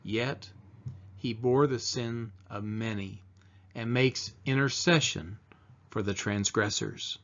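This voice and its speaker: A man reading the Bible aloud solemnly, in measured, deliberate phrases with pauses. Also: fairly low pitch, fairly even intonation, a bit bright. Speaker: scripture reader. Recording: dull, lo-fi sound.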